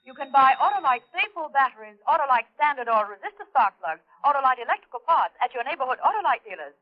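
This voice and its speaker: A woman's voice: sits high, sing-song, fast. A woman reading a commercial as an announcer in 1950s radio style. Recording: dull old radio recording.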